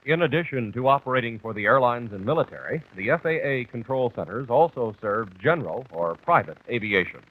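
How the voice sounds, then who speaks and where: A man's voice: medium pitch, expressive, a little fast, few pauses. An American man reading scripted documentary narration on an old, muffled 1960s television soundtrack. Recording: muffled 1964 TV kinescope, narrow band.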